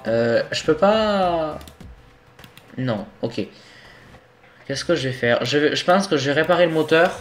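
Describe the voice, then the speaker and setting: Young man, stuffy with a cold, slightly high voice, swearing at a game, faint music behind. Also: expressive, a little slow, long pauses. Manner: swearing, exasperated, talking to himself while playing. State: sick with a cold. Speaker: gaming video host. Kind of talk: casual let's-play commentary.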